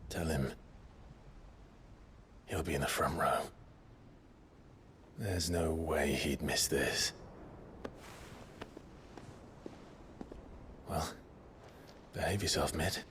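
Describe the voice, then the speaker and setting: An actor playing a weary, resolute warrior leader in a video game cutscene, gruff but tender, low and breathy. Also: male voice, slow pace, long pauses, a bit bright, a little hiss or hum, heavily acted. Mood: gently fond.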